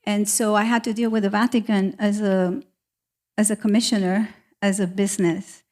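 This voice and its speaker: A middle-aged woman speaks as an artist on a panel. Her voice sits at a medium pitch. She talks in a candid, emphatic, conversational way, moving between principle and personal story. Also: flat delivery, normal speed, a little mellow. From South America.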